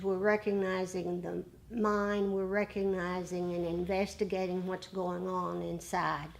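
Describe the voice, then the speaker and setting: A woman meditation teacher in an informal dharma discussion, explaining deliberately in short, repeated phrases. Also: medium pitch, gravelly, darkish tone.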